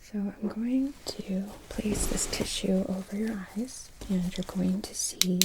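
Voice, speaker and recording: A woman in her thirties speaking in a soft, breathy whisper, giving calm, gentle instructions as a medical technician in an ASMR roleplay, recorded close. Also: mid-range voice, takes it slowly, noisy background. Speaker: ASMR performer playing an EEG technician. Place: small indoor room dressed as hospital bay.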